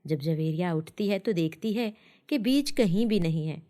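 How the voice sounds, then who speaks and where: A woman's voice: on the low side, expressive, moderate pace, dark tone. A woman narrating a scripted children's story as a storyteller.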